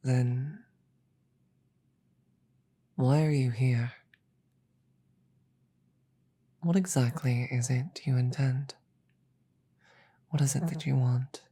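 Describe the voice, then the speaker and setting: A woman voicing a regal, calm demon queen in a roleplay audio drama, speaking in a slow, deliberate, breathy murmur with measured pauses and quiet menace. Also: a bass voice, heavily acted. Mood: probing, cool.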